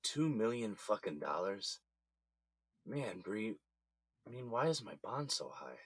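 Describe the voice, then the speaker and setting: Deep-voiced, weary jailed businessman. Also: big pitch swings, a little slow, slightly rough, dry recording, exaggerated voice. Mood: indignant. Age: middle-aged. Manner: weary, blunt. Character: weary, jailed middle-aged businessman, blunt and stubborn. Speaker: actor in an audio drama. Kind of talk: naturalistic scripted drama dialogue.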